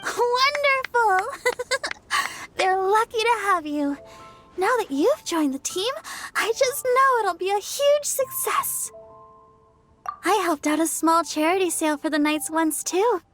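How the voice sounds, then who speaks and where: An actress playing a sweet, earnest young girl in a video game, gentle and a little bashful. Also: very high-pitched, expressive, takes it slowly, faint music behind, exaggerated voice. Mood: sweet, admiring. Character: sweet, earnest young church idol girl, modest and helpful. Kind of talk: video game cutscene acting.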